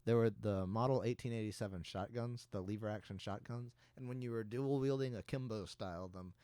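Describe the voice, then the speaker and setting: Podcast co-host: young male, slightly nasal, lowish register, loose and rambling casual chat, recorded dry. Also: a little fast, mellow, clean tone, no echo. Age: young adult. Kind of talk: casual chat between friends.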